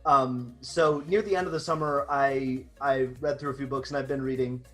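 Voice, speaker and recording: A nineteen-year-old man from Southern California, a drum major, talking on a video call in a relaxed way and laughing easily. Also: medium pitch, moderate pace, faint music behind.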